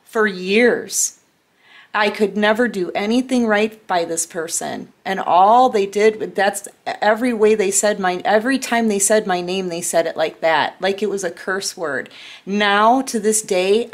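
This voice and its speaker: A woman's voice: mid-range voice, measured pace. A woman tarot reader speaking earnestly and emphatically to her viewers, addressing the listener directly and often checking in. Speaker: tarot reader and channel host. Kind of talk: intimate spoken tarot reading to camera. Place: indoor room at a tarot table.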